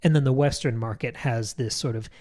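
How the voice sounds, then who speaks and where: A man's voice: mid-range voice, a little fast, dark tone. A man co-hosting a book club podcast, thinking aloud in articulate, layered literary analysis. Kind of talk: literary discussion, analytical chat.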